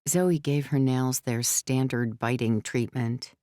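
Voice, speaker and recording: Very low, smooth female narrator. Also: steady tone, takes it slowly, dark tone, no echo, studio quality. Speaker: audiobook narrator. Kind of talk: scripted third-person fiction narration.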